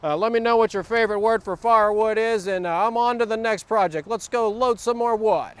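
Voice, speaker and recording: A man's voice: high voice, brisk, clear, resonant tone, dry recording. A man with a Southern US accent and a sore throat, rambling through a casual outdoor vlog with many uhs and restarts. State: sore throat, voice not back. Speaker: vlogger. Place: outdoors, windy wood lot.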